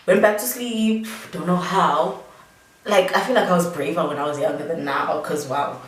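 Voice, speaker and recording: A woman's voice: fairly low pitch, normal speed, roomy sound, far from the mic, slight background noise, low-quality recording. A young South African woman, a little tipsy, chatting casually as she tells a story during a makeup vlog, rambling with plenty of fillers. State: tipsy from drinking. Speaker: beauty vlogger.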